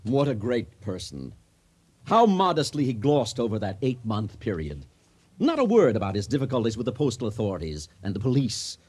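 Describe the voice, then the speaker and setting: An actor playing an exuberant comic storyteller in a radio drama, husky and grandly mock-solemn. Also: male voice, medium pitch, huge pitch swings, moderate pace, a little mellow. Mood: mock-solemn, wry. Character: exuberant comic storyteller addressing a wedding crowd. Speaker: narrator.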